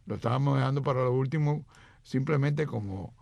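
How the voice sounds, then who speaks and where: Gravelly radio sports man. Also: mid-range voice, a little fast, a little mellow, slight background noise. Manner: joking, rambling anecdotes. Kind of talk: casual radio sports chat.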